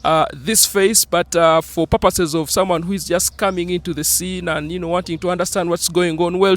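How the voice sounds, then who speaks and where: A man's voice: high-pitched, a bit bright. A young man with a Ugandan accent hosting an interview, upbeat and full of fillers, switching between English and Luganda. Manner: upbeat, many fillers like "uh" and "you know". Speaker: interview host.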